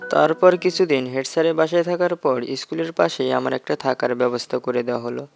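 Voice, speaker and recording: A man's voice: medium pitch, a little fast, vocal fry, faint music behind. A man narrating a scripted first-person horror story as its main character.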